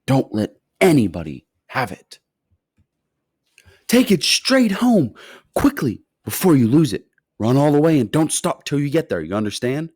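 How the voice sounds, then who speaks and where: A man voicing an excitable, kindly fat male shopkeeper who shouts with delight, in a storybook reading. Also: medium pitch, unhurried, husky, no echo, studio quality, voice pushed up. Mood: warm.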